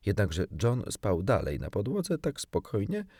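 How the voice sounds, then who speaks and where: A man reading a children's story as an expressive audiobook narrator. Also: fairly low pitch, measured pace, husky, no room sound, clean studio sound.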